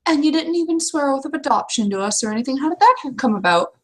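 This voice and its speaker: A woman's voice: high voice, expressive, quick pace, a bit bright, pitched above the performer's own voice. Woman playing a blunt, indignant troll woman. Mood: indignant, incredulous. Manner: blunt, exclamatory, swearing.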